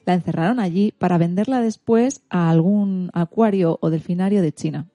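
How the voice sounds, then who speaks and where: A woman radio host with an accent from Spain tells a story for all ages. Her voice sits a little low and has a dark, mellow tone. The sound is dry and close, and her delivery is informal but scripted. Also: moderate pace, dry recording. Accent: Spanish from Spain.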